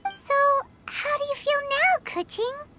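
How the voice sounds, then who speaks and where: A woman voicing a small, childlike fairy companion in a video game, squeaky, cutesy and expressive. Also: takes it slowly, full character voice, low-quality recording. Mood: curious. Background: game music.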